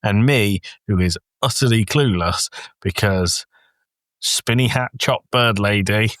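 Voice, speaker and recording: A man's voice: lowish voice, a little slow, a bit bright, dry recording, clean studio sound. A man co-hosting a podcast in casual film chat, with a teasing, self-deprecating way of talking. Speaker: podcast co-host.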